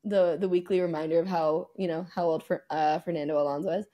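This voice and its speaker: A young woman with a slightly low, mellow voice co-hosts a sports podcast from a room at home. She talks in an informal, slangy and animated way, as in a chat between friends. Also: fast. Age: young adult. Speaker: podcast co-host. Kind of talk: casual chat about motor racing. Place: indoor room at home, video call.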